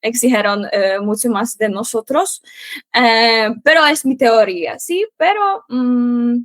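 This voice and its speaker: Young polish woman, polish accent, slow and halting, keeps pausing for words, slightly high voice. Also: no echo. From Poland. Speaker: guest, Spanish teacher. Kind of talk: casual interview answers.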